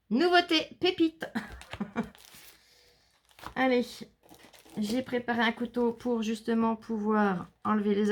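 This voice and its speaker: A woman vlogging a scrapbooking haul, chatting casually as she reads out color names and numbers and jokes about herself. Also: medium pitch. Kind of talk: casual haul video, showing products. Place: indoors, shutters closed against the heat.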